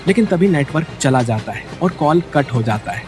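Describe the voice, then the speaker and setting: A man's voice: a little high, lively intonation, normal speed. A man reading a scripted bedtime story in a dramatic storytelling style, as narrator. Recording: music bed behind the voice. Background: background music.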